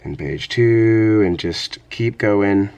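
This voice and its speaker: A man with a slightly hoarse, under-the-weather voice, talking casually in a vlog as a teacher sharing tips in an easygoing, explanatory way. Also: lowish voice, slow, a little mellow, muffled recording. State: a little congested and hoarse from coughing. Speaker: teacher and vlogger.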